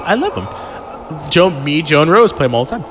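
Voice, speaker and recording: A man's voice: on the high side, sing-song, fairly quick, fairly bright tone, faint music behind. A man hosting a comedy podcast, reading a story aloud and breaking in with incredulous, sweary reactions. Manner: exclamatory, incredulous, sweary asides. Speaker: podcast host and story reader.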